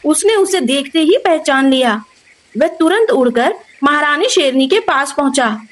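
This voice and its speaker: A woman's voice: sits high, expressive, unhurried, slight background noise. A woman narrator reading a scripted children's moral story.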